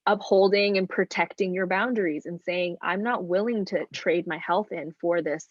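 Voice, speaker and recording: Female nutritionist guest, lower register, calm explainer, flat dry lo-fi sound. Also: no echo. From China. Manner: thoughtful, measured explanations. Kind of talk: casual podcast interview.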